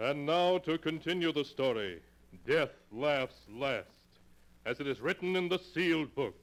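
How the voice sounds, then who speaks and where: An actor playing the solemn, dramatic narrator of a 1940s radio terror tale. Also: male voice, on the high side, animated, measured pace, soft background music. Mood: suspenseful, solemn. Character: ominous male storyteller of a terror anthology. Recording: noisy 1940s radio recording.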